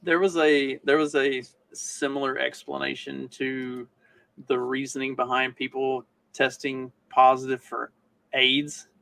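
A man's voice: medium pitch, little pitch movement, unhurried, vocal fry, fairly bright tone. A man co-hosting a live podcast, talking casually and conversationally. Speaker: podcast co-host.

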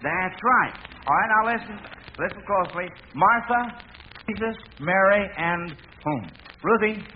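High-voiced folksy old-time radio quiz man. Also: unhurried. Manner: folksy, encouraging children, repeats their answers. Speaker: quiz host. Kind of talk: 1940s radio quiz hosting with children. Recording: tinny, hissy old radio broadcast.

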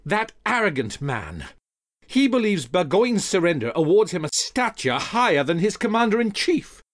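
A man's voice: sits high, big pitch swings, normal speed, pitched above the performer's own voice. A man voicing a hot-tempered young army colonel, vehement and emphatic, in historical audiobook dialogue. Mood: angry venting.

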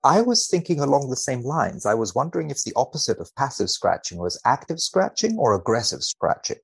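Gravelly man with swooping intonation. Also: mid-range voice, sing-song, fairly quick. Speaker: podcast guest, biomedical researcher. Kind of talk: dramatic reading of a study, considered commentary.

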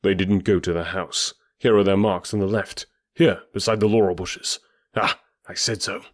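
A man's voice: deep voice, steady tone, moderate pace, no room sound, clean studio sound. A man voicing a cool, commanding male detective in an audiobook, clipped and authoritative. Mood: intent.

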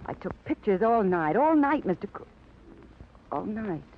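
Defiant young female photographer, agitated and bitter, widely swinging pitch, muffled vintage radio crime drama. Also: very animated, unhurried. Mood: anxious, evasive. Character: jumpy, defiant young nightclub photographer woman. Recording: muffled, faintly noisy old radio recording.

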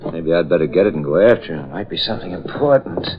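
An actor playing a curious, informal newspaper reporter in a 1940s radio drama. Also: male voice, sits low, lively intonation, fast, crowd noise. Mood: curious musing. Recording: dull old radio recording.

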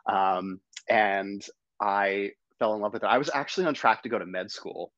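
Podcast guest: low-voiced, gushing man on a dry, dull recording. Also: steady tone, normal speed, fairly bright tone, no room sound. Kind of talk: casual podcast chat.

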